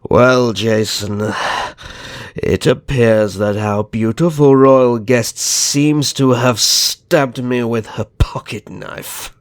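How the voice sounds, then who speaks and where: A man playing a sly, sadistic vampire naga kidnapper in an ASMR roleplay, speaking with mock courtesy and slow theatrical menace. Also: medium pitch, steady tone, dry recording, studio quality, close to an everyday voice. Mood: amused menace. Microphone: close microphone, dry.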